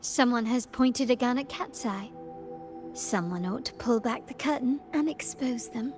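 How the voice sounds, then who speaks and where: A woman acting a composed, resolute young noblewoman in a video game, speaking in a measured, formal way. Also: on the high side, close to an everyday voice. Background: game music.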